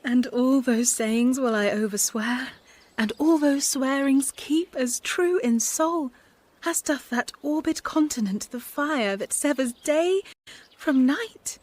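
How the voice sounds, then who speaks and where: A woman's voice: sits high, slow pace, a little mellow. An actress playing a devoted young woman disguised as a boy in a radio Shakespeare drama, speaking verse earnestly and carefully. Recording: faint background ambience.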